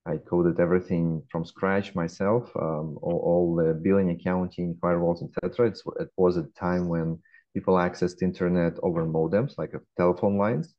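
A man in his forties with a Russian accent, answering interview questions in a measured way with frequent hesitations, heard over a webcam call. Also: low-pitched, steady tone. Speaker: guest, fintech founder. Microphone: laptop webcam. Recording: dull lo-fi call audio.

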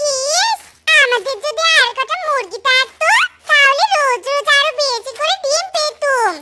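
A performer voicing a hot-tempered village wife in a children's cartoon, shrill and sharp-tongued. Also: unhurried, roomy sound. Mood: curious, wishful. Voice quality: shrill, very high-pitched. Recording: distant voice under loud music.

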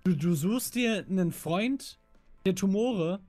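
A man's voice: sits high, animated, slow pace, dark tone, faint music behind. A man playing along as a guest in casual gaming banter, goofy and teasing. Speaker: guest co-player in a gaming video.

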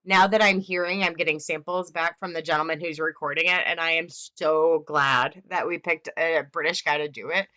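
Bright-voiced woman author, animated. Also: low-pitched, moderate pace, few pauses. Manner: lively, animated storyteller. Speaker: author guest. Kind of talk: casual video-call interview. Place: home room. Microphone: video call.

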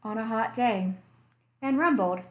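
Slow, expressive woman reading storytime. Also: on the high side, low-quality recording. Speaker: children's librarian. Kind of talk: storytime read-aloud. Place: small home room indoors.

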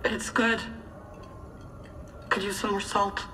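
A woman's voice: mid-range voice, faint music behind. An actress playing a proud, aloof witch warrior in a video game cutscene, speaking formally with dry humour. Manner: deliberate, formal, deadpan.

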